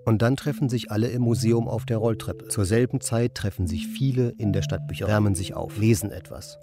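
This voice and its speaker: An actor playing an idle, bemused drifter who mutters and exclaims to himself in a German radio play. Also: male voice, on the low side, fairly quick, music in the background, natural voice. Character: idle male drifter, musing, irritable, bemused.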